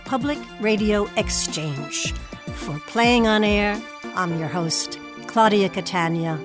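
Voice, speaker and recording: A woman hosting a public radio program, speaking as an interviewer and presenter. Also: medium pitch. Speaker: radio host.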